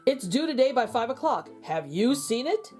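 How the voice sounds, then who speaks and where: A woman's voice: high voice, big pitch swings, normal speed, a little mellow, soft background music. A woman voicing a practical father in a storybook, steadying and questioning his children. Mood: calm.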